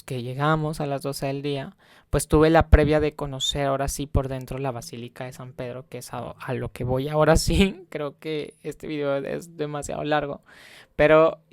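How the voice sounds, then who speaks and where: A young man tells his travel story in a loose, rambling way, full of fillers and restarts. His voice is smooth and clear, recorded close with no room sound. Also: on the high side, fairly even intonation, moderate pace, dry recording. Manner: rambling, many fillers and self-corrections. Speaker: travel vlogger. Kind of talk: casual vlog storytelling.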